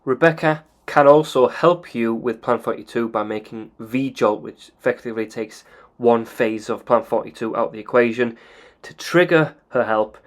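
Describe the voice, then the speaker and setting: A man calmly explaining video game story triggers in a methodical, plain way. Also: mid-range voice, moderate pace.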